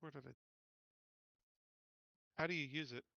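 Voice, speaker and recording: A man's voice: fairly high pitch, fairly even intonation, measured pace, gravelly, sharp tone, no room sound, muffled recording. A man playing a tabletop game, talking casually in a blunt, complaining way.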